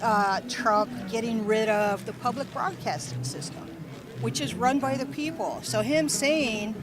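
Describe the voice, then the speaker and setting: A woman giving her opinion casually in a street interview. Her voice is fairly high, and a busy crowd with traffic is audible around her. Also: measured pace.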